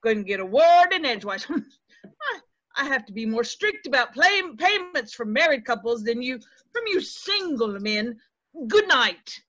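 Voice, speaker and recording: A crotchety middle-aged landlady played by a woman from a stage play, high and piercing. She scolds in folksy, old-fashioned dialect, menacing and coy by turns. The sound is muffled, as over a video call. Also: sing-song, measured pace, fairly bright tone, heavily acted. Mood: contemptuous. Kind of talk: stage play table read. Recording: dull video-call audio.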